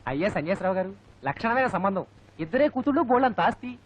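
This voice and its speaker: Ingratiating marriage broker. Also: male voice, high-pitched, expressive, fast talker, slight background noise. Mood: coaxing. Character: ingratiating village marriage broker pitching a match. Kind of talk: Telugu film comedy acting. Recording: dull film soundtrack.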